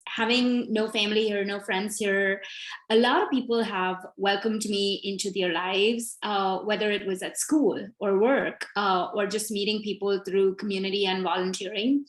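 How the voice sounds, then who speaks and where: A woman from India, introducing herself on a video call in a measured way with frequent fillers. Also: medium pitch. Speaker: panel guest. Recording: echoey room.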